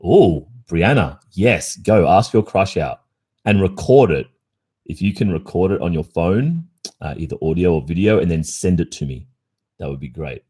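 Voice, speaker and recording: A man's voice: sits low, animated, normal speed, darkish tone, breathy edge. An Australian man hosting a casual livestream, chatting with his viewers and reading out their comments. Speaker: ASMR roleplay streamer.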